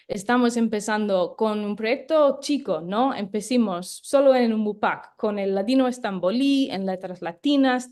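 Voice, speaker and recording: A woman's voice: fairly high pitch, darkish tone. A woman leading a project, explaining it in an online talk with frequent hesitation fillers. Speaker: project leader and co-presenter.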